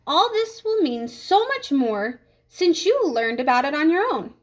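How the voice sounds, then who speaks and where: A woman reading a children's storybook as a strict, controlled female schoolteacher, speaking in a measured, polite, authoritative way. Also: high-pitched, sing-song. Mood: pointed praise. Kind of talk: children's storybook read-aloud.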